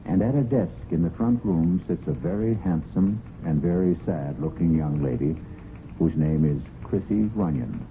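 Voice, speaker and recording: A man hosting a radio mystery anthology, narrating a scripted story in a deliberate, theatrical old-radio storyteller's manner. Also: deep voice, a little hiss or hum. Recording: narrow old radio recording with music.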